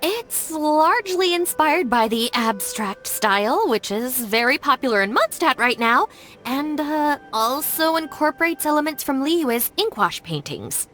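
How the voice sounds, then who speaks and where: A composed young female game character, with a raised, very lively pitch. She smooths things over in formal, careful business language, like a gang's loyal deputy. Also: measured pace, soft background music, full character voice. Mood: diplomatic, defensive. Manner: formal, careful corporate phrasing. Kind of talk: video game voice acting.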